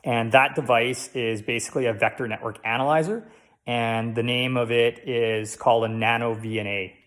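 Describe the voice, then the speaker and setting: A man with a mid-pitched voice hosts a casual hands-on tutorial. He explains each step as he goes, in a halting, unscripted way. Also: fairly even intonation, fairly bright tone. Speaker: host of a DIY radio tutorial. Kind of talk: casual how-to demonstration.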